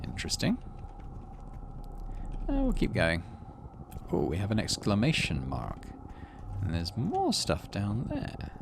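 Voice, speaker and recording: A man's voice: on the low side, huge pitch swings, takes it slowly, gravelly, long pauses, background noise. A man, middle-aged, doing playthrough commentary and reading game text aloud expressively. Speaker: gaming channel host.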